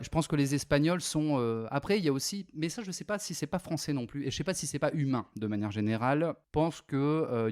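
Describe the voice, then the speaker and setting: A French-born man in his thirties, guest on an interview podcast, talking in a lively, rambling way with many fillers. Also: medium pitch. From France. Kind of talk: casual interview chat.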